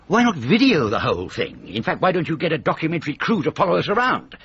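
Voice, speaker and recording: An exasperated middle-aged British man in a radio comedy drama. His voice is husky and fairly high for a man, swinging sharply with sarcasm. The sound is slightly dull. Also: big pitch swings, fairly bright tone, heavily acted. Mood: bitterly sarcastic. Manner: sarcastic, dry, quick to exasperation. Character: sardonic, exasperated ageing male comedy writer and father.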